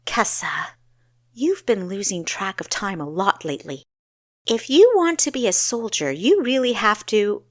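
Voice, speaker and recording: A woman's voice: medium pitch, sing-song, measured pace, no echo, clean studio sound. A woman voicing an earnest, dutiful young female soldier in an audiobook, with an admonishing sisterly manner. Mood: stern, lecturing.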